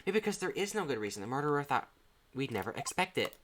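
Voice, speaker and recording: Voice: mid-range voice, big pitch swings, fast, very noisy, close to an everyday voice. Streamer voicing an earnest, unsure young male defense lawyer. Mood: tentative, insistent. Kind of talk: visual novel game read-aloud.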